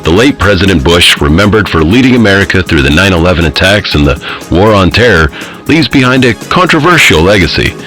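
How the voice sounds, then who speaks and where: An actor playing a formal morning news anchor in an audio drama, reading a bulletin in a polished, measured way. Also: male voice, low voice, sing-song, a bit bright, poor audio. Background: music.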